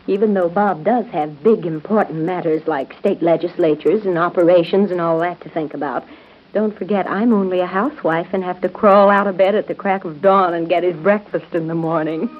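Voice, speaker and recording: An actress playing a practical, gently teasing housewife in a 1930s radio drama, natural and conversational. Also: female voice, on the low side, steady tone, fairly quick, natural voice. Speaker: radio soap opera actress. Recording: muffled, lo-fi 1930s radio broadcast.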